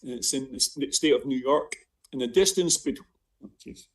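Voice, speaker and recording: Distant-miked Scottish man lecturing haltingly. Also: medium pitch. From Scotland. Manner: halting, with frequent self-interruptions and repeated words. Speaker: visiting professor giving a seminar. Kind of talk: informal academic lecture with slides. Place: remote online seminar. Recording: distant mic on online seminar.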